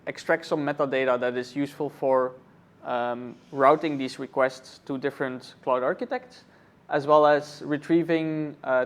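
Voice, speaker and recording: A man from Belgium, a cloud architect, answering interview questions thoughtfully with many pauses and fillers. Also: medium pitch. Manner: thoughtful, many ums and uhs. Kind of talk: conference interview.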